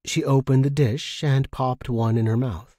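A man's voice: medium pitch, fairly even intonation, a little slow, warm tone, no room sound, clean studio sound. A man reading scripted first-person fiction as a story narrator.

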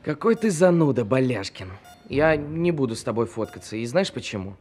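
An actor playing a kindly, earnest young amateur entertainer in costume in an audio drama. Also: male voice, mid-range voice, sing-song, moderate pace, darkish tone, breathy edge.

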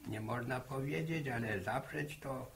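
Creaky old village grandfather. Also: mid-range voice, unhurried, a little hiss or hum. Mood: musing. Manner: rambling, stammering, repeats words. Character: old village grandfather, folksy, teasing, opinionated. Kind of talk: Polish radio serial acting, 1960.

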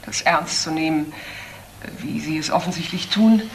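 A woman's voice: normal speed, far from the mic, rough recording. Stern older lady doctor, crime drama; curt authority, noise behind. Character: stern, proud woman doctor, a young man's aunt. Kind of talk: German crime drama acting. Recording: background noise, car rumble in places.

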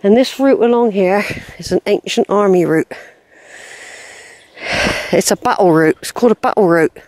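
A woman's voice: mid-range voice, normal speed, darkish tone, dry recording. Woman, wheezy, rambling, outdoors, walking vlog. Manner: chatty, rambling, talks to herself. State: wheezy, short of breath. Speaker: walking vlogger. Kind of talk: casual walking commentary to camera. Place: outdoors on open grassy hillside. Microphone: handheld camera, unseen narrator.

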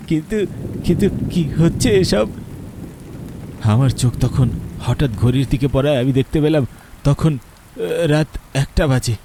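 A man's voice: on the high side, mellow. A man narrating a horror story in a dramatic, fearful way.

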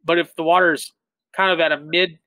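A man in his thirties, a tournament bass angler, explaining things in a relaxed way full of "like" fillers over a video call. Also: fairly quick, no room sound. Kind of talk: casual interview talk. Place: indoor room with shelves of tackle. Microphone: webcam on a video call.

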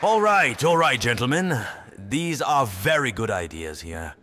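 A man's voice: mid-range voice, sing-song, measured pace, a crowd in the background, background noise. A man playing a pompous, authoritarian world president in an audio drama. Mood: placating. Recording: echoey hall, faint music behind.

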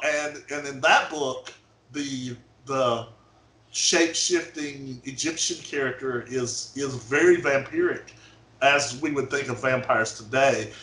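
A man on an online panel, talking in animated bursts and stumbling as he starts his sentences. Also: mid-range voice, takes it slowly. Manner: animated, stumbling over openings. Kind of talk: casual panel discussion. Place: home office. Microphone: studio mic on video call. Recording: roomy video call audio.

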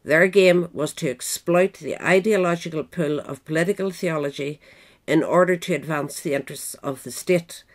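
A woman's voice: low-pitched, normal speed. A woman reading scripted nonfiction narration as an audiobook reader. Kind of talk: scripted narration of a political history essay.

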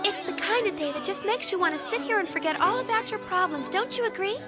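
Very high girlish voice, guileless bubbly young woman, anime dub, loud music underneath. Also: lively intonation, fast talker. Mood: cheerful. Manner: childlike, guileless, earnest. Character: bubbly, naive young woman, earnest and easily frightened. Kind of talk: English anime dub acting.

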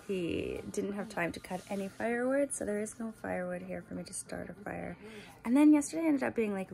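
A woman's voice: mid-range voice, creaky. A woman chatting casually to camera as a family vlogger, recorded on a handheld camera. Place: small family home and yard outdoors. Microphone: camera or phone at arm's length.

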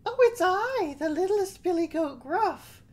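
A woman's voice: extremely high pitch, lively intonation, unhurried, a little mellow, pitched above the performer's own voice. A woman voicing a small, childlike male baby goat, pleading and clever, in a children's story.

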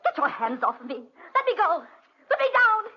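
Very high, frantic captive lady. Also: very animated, normal speed. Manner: shrill, imploring, indignant. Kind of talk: 1940s radio melodrama acting. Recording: muffled, noisy 1940s radio recording.

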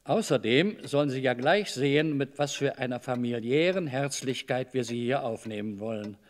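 A man's voice: medium pitch, moderate pace, close to an everyday voice. A man playing an evasive, overly cordial middle-aged master of a house in a German radio drama, wordy, hesitant and patronizing. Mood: jovial, fussy.

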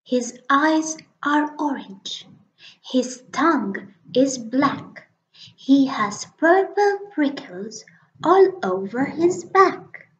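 A plucky little storybook mouse, voiced by a woman, high and unhurried. It is polite and cheeky, with a very lively melody. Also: heavily acted. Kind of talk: storybook reading. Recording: dull, lo-fi recording.

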